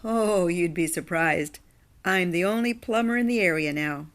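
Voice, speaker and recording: A woman's voice: lowish voice, normal speed, close to an everyday voice. A woman playing a blunt, independent blue-collar plumber with a casual, dry manner in an audio drama.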